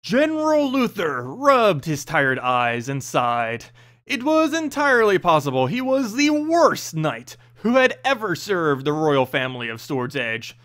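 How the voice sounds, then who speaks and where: A man narrating a fantasy story in an expressive, dramatic storyteller's manner. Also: on the high side, unhurried. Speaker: narrator reading game lore.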